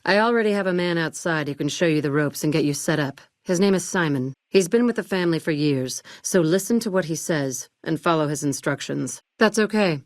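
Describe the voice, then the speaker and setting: An actress playing a cool, ruthless businesswoman in a video game, speaking in a curt, measured, condescending way. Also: low voice, lively intonation, no echo, clean studio sound. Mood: businesslike. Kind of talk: video game character dialogue.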